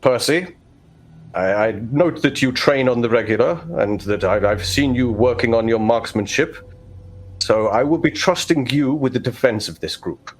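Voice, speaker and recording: A man playing a stern, pompous vault overseer, speaking in formal, measured phrases in a tabletop roleplaying game. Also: mid-range voice, natural voice. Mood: commanding, formal. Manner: formal, measured, stiff-upper-lip.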